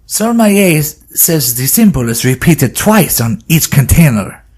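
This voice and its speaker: Casting: male actor as courteous, anxious French scholar, French accent, husky tone, expressive pitch swings. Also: measured pace, full character voice. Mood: concerned. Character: anxious French male scholar, old friend welcoming guests. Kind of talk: audio drama acting.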